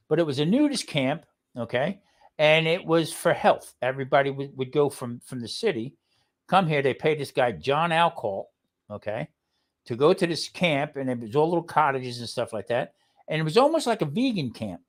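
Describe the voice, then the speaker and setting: A man with a New Jersey accent, chatting and telling stories as a livestream co-host, blunt and rambling, often checking that listeners follow. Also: a little high. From New Jersey, United States. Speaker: live show co-host. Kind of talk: casual livestream chat and storytelling.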